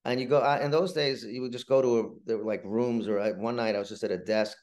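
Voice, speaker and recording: A man talking casually as an interview guest, telling anecdotes about his writing work in a halting way with stammered restarts. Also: mid-range voice, fairly even intonation, darkish tone. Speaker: guest, playwright and TV writer.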